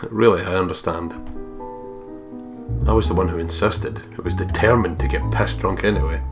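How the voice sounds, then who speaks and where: Young Scottish male let's player, lowish pitch, reads game text and jokes with plenty of swearing, music underneath. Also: moderate pace. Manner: sweary, exuberant, joking. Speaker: let's play co-host. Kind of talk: reading game text aloud with casual commentary. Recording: music behind the voice.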